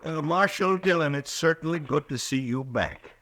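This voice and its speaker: An actor playing an anxious, fussy small-town storekeeper in a 1950s radio drama. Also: male voice, on the high side, normal speed, fairly bright tone, a little hiss or hum, close to an everyday voice. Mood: eager. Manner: fussy, anxious, eager to please. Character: jittery small-town storekeeper, middle-aged man.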